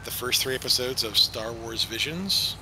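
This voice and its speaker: A man chatting casually on a gaming stream, speaking slowly and hesitantly, mildly unimpressed. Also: mid-range voice, crowd noise, poor audio. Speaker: streamer and co-host. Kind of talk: casual chat while gaming.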